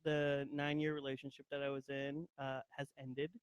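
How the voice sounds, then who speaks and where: A man in his twenties, a podcast guest, chatting casually with sudden excited bursts and frequent laughter. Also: medium pitch, normal speed, clear, resonant tone, no echo. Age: 20s. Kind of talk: casual chat. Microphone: handheld mic.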